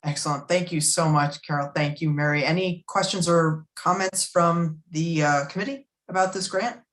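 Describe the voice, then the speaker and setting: A woman's voice: sits low, normal speed, fairly bright tone. A woman chairing a public committee meeting, polite and procedural, with many thank-yous.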